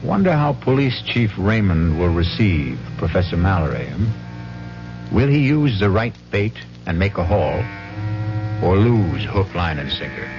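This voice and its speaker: A man's voice: deep voice, very animated, measured pace, full character voice. A man playing a droll, macabre radio mystery host, theatrical and wry, delivering puns in a scripted style. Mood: wry, ominous. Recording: dull vintage radio broadcast, music behind.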